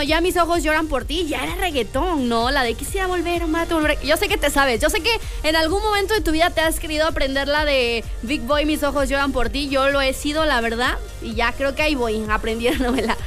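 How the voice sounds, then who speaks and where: A woman's voice: high voice, fairly bright tone. A young Mexican woman radio DJ, chatting casually and rambling with lots of fillers. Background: faint music.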